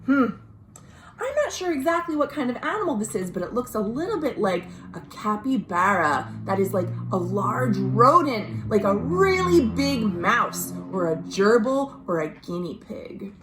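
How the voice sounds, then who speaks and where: An American woman reading a children's story aloud, expressive and dramatic, pausing to explain things simply. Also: fairly high pitch. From United States. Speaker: librarian, storytime host. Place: at home. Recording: roomy, echoey home recording.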